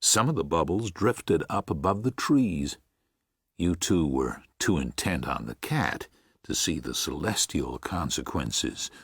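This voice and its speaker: A man playing a gentle, reflective preacher of seventy-six in a first-person audiobook, with a measured, husky, aged voice. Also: very deep voice, dry recording, clean studio sound, heavily acted. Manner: measured, tender, musing. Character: gentle, reflective 76-year-old Midwestern preacher writing to his son. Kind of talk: first-person audiobook narration with acted dialogue.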